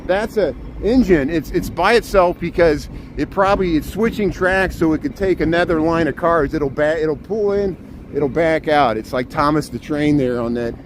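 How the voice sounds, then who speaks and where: A man with a loud voice live-streaming a walking tour outdoors, chatting casually and pointing out trains and buildings as he goes. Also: fairly high pitch, normal speed. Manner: chatty, pointing out sights as he walks. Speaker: urban planner. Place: outdoors on city streets. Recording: noisy city street with traffic.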